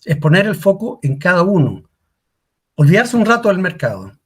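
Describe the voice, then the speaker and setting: A man's voice: sits high, big pitch swings, moderate pace, breathy edge. A middle-aged man giving a career workshop talk as a coach, asking rhetorical questions and repeating key lines for emphasis. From Chile.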